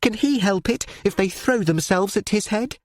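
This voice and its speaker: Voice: medium pitch, moderate pace, no room sound, studio quality, pitched above the performer's own voice, close to an everyday voice. A reader voicing an impulsive young woman who talks breathlessly and urgently, pleading her case.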